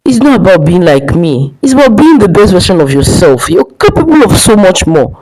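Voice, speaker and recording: A woman's voice: fairly low pitch, sing-song, brisk, a little mellow, a little hiss or hum, poor audio. A woman voicing an earnest, responsible soldier, a caring brother in a dramatic folktale. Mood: earnest urging. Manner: earnest, urging.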